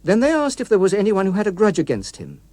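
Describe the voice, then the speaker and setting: A man narrating an audiobook story in a precise, expressive, literary manner, recorded in a studio. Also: high-pitched, fast talker, no echo. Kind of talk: scripted dramatic story narration.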